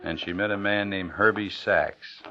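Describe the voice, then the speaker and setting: An actor playing a guarded, smooth-talking, hard-boiled man in an old noir radio drama. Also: fairly low pitch, moderate pace, pushed and bright. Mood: smooth, probing. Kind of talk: 1950s radio drama acting with noir narration. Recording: dull old radio recording, music underneath.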